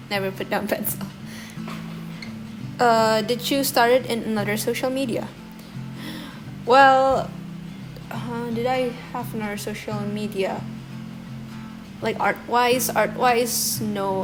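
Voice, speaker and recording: A woman's voice: mid-range voice, rough recording. A young woman from Indonesia, whose first language is Indonesian, chatting casually through a Q&A voice-over with a giggly, self-deprecating manner. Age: young adult. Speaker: artist vlogger. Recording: music playing behind. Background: soft guitar music.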